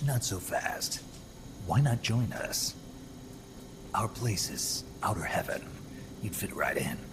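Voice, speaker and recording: An actor playing a terse middle-aged mercenary soldier in a game cutscene, with a gravelly low voice that often drops to a near whisper. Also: male voice, expressive, slow pace, noisy background. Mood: wry. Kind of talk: video game cutscene acting. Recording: loud music underneath.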